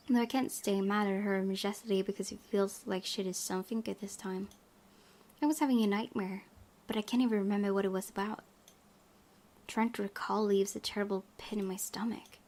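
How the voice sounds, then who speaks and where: A woman hosting a gaming video, reading story text aloud and chatting informally about it with expressive reactions. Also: medium pitch. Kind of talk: reading a game's narration aloud with casual commentary.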